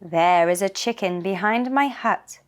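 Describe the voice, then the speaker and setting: Woman playing a slow, kindly old woodland man. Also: medium pitch, natural voice. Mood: patient. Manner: patient, instructive, repeating himself.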